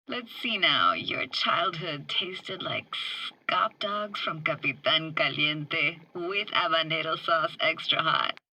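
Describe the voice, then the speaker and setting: A woman's voice: sits low, sharp tone, slightly rough, no room sound, full character voice, low-quality recording. A woman playing a wry, tender young streetwise techie in a video game, with casual, clipped, slangy delivery. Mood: playful nostalgic. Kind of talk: video game character dialogue.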